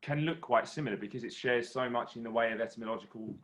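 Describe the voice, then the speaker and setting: A man's voice: mid-range voice, fast, fairly bright tone. A young man from southern England, a singer in an online masterclass, speaking in an articulate, considered way with hesitations over a video call. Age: young adult.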